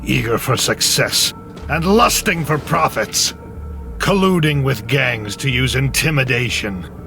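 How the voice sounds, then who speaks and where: An actor playing a vengeful, gloating villain in a dramatic, theatrical video game cutscene. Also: male voice, medium pitch, lively intonation, a little slow, creaky, bright, forceful tone, faint music behind, heavily acted. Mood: accusing, bitter. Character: vengeful, gloating male villain scheming against a tech company.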